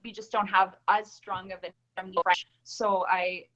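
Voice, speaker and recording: A woman's voice: mid-range voice, little pitch movement, unhurried, a bit bright, faint music behind. A woman from Calgary with a mild Albertan Canadian accent, chatting earnestly as an interview guest with frequent ums. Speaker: guest, nurse and pageant winner. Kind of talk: casual interview answers. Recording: dull lo-fi call audio.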